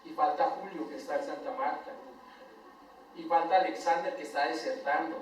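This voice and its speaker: An older Colombian man preaching a sermon as pastor, swinging between loud emphatic lines and soft tender asides. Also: on the high side, moderate pace, a little hiss or hum. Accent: Colombian Spanish. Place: church sanctuary. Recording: echoey church hall, voice from far off the mic.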